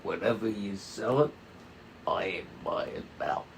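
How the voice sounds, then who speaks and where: A man playing a husky, hostile ex-bodyguard who is stubborn and foul-mouthed, in a comedic script table read. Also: fairly low pitch, takes it slowly, a little hiss or hum. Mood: irritated, dismissive. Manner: belligerent, slangy, swearing.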